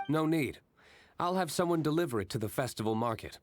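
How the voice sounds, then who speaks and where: An actor playing a reserved, courteous young winery master in a video game, measured and understated. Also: male voice, fairly high pitch, lively intonation, a little hiss or hum, natural voice. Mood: calm, helpful. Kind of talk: video game character voice acting.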